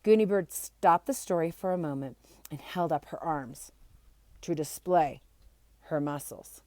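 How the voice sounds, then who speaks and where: A woman reading a children's book aloud in an expressive, animated storytelling style. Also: low voice, measured pace, no room sound. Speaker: amateur storybook reader.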